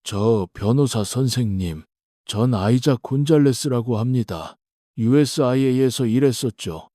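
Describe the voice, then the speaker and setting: A man's voice: mid-range voice, normal speed, dark tone, no echo, studio quality, lightly acted. A man playing a humble, conscience-stricken old worker in a historical drama, hesitant and deferential. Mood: remorseful. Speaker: voice actor.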